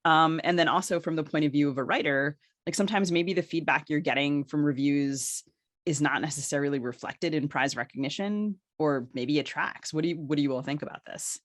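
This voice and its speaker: Podcast co-host asking long questions. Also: female voice, low-pitched, fairly quick, no echo, studio quality. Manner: framing long, careful questions. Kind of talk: podcast hosting.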